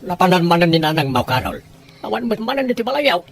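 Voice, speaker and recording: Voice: medium pitch, big pitch swings, fast, music in the background. A performer playing a teasing, coaxing adult man in an audio drama, in a conversational manner. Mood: inquiring. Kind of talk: Ilocano audio drama dialogue.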